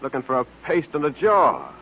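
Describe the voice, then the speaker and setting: An actor playing a belligerent, slangy street thug in a 1940s radio drama, talking with menace. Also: male voice, a little high, wildly swooping pitch, normal speed, creaky, exaggerated voice. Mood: menacing. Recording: phone-like old radio recording with hiss.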